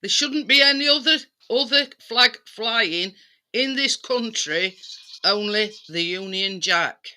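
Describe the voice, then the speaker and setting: A woman's voice: a little high, slow pace, pushed and bright. A woman from England chatting casually and insistently, circling around her point and checking that she is understood. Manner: insistent, circling, frequent "you know what I mean". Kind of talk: casual opinionated live-stream chat.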